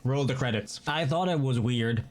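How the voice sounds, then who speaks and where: A man's voice: mid-range voice, normal speed, slight background noise. A man giving casual reaction commentary as he plays through a video game. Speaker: gaming streamer. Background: game music.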